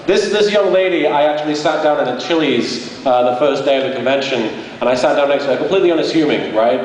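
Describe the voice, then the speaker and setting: A man's voice: on the high side, fast. A man talking casually and jokingly as a guest at a live convention panel, poking fun at himself. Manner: jokey, self-deprecating. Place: large indoor convention panel room. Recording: echoey hall from afar, loud crowd noise. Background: noisy audience.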